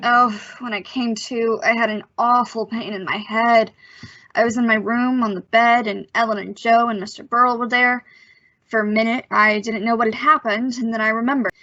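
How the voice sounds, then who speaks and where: A woman plays a plucky teenage boy in an old radio thriller. The voice is high and bright, with an earnest, slightly hesitant gee-whiz delivery. It sounds close and dry, with dulled lo-fi audio. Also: steady tone, normal speed, dry recording. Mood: earnest recounting. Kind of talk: old-time radio suspense acting.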